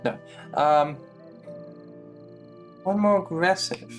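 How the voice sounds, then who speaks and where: Slow-paced streamer giving blunt asides. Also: mid-range voice. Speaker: game streamer. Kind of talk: casual live gameplay commentary. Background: faint game music.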